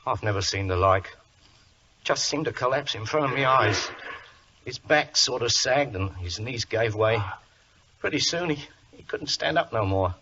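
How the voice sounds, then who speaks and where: An actor playing a deferential, anxious stable groom in a 1940s radio drama. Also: male voice, medium pitch, lively intonation, sharp tone, a little hiss or hum. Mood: shaken, sorrowful. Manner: respectful, plain-spoken, halting. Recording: dull vintage radio recording.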